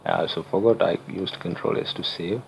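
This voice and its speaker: A young man from Sri Lanka whose first language is not English, hosting a screen-recorded coding tutorial in halting speech with frequent fillers and self-corrections. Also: low-pitched, gravelly, slight background noise, poor audio. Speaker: channel host, tutorial maker.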